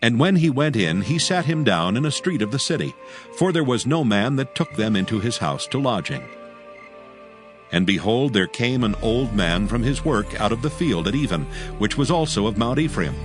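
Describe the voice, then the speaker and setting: A man's voice: mid-range voice, expressive, moderate pace, over music. A man narrating dramatized scripture in archaic English with a solemn storytelling manner.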